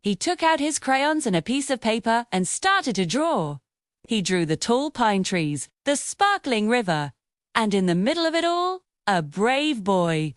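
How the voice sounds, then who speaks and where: A woman narrating a scripted children's bedtime story in an expressive, dramatic storytelling manner. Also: fairly high pitch, measured pace, no echo, studio quality.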